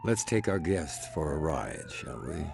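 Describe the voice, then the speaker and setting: A cold, mocking crime boss in a video game. His deep, gravelly voice moves slowly and condescendingly, with noise behind it. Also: sing-song, full character voice. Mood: taunting menace. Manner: slow, silky, condescending. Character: menacing older male crime boss, coldly mocking and condescending. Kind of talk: video game cutscene acting.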